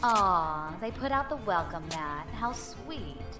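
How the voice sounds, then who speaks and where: An actress playing a confident, breezy pirate leader in a science fiction audio drama, wry and brisk. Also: female voice, mid-range voice, lively intonation. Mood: mocking. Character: cocky, breezy female space pirate leader. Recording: music behind the voice.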